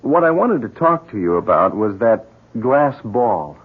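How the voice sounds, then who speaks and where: A steady, reassuring friend in a 1970s radio play. His husky voice is conversational and varied in pitch. It comes through muffled, like a vintage broadcast. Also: unhurried. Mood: earnest, explaining. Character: calm, reassuring, friendly male family friend. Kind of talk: 1970s radio drama acting.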